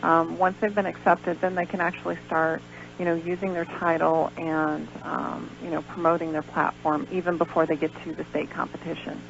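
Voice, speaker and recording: American woman interviewee, lo-fi gravelly radio sound. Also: low voice, little pitch movement, normal speed. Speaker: guest, pageant organizer. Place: radio show. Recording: dull lo-fi radio recording with hum, noise.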